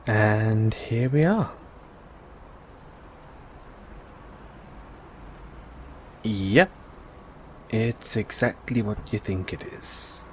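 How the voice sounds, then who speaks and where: A man's voice: fairly low pitch, lively intonation, slow, a little hiss or hum. A man playing a curious, reassuring investigative journalist in an intimate audio roleplay, musing and coaxing with hesitant phrasing. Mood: conspiratorial, coaxing. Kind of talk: intimate one-sided audio roleplay acting.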